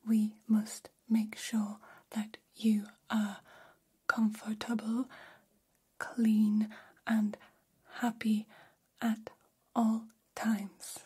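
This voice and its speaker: A woman voicing a gentle, formal alien caretaker in an ASMR roleplay, whispering softly with slow, deliberate phrasing and long pauses. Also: medium pitch, exaggerated voice. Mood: soothing, formal. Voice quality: soft, breathy whisper. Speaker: ASMR performer. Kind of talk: ASMR roleplay, personal attention.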